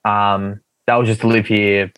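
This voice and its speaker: A man's voice: lowish voice, flat delivery, a little slow, fairly bright tone, clean tone, no echo. A young man, a guest chatting casually, rambling with many fillers. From Australia. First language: Russian. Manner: rambling, filler-heavy, easygoing. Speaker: guest athlete. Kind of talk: casual podcast chat.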